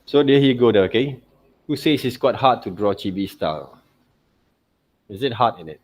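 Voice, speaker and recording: A man's voice: mid-range voice, animated, normal speed. A man giving an informal tutorial as a teacher, often checking in with little tag questions. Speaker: art teacher. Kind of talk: informal screen-share tutorial commentary.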